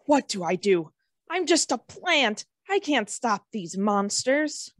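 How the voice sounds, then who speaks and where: A woman voicing a timid small talking shrub plucking up courage, with dramatic delivery in an animated fantasy table read. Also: on the high side, slow, no room sound, studio quality, full character voice. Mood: anxious, hopeful. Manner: dramatic, exclamatory.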